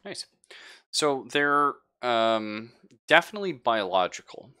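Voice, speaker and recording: A man running a tabletop roleplaying game, describing scenes to players in a halting, conversational way. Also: medium pitch, sing-song, pushed and bright, smooth. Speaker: game master. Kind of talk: improvised narration of a live tabletop roleplaying game.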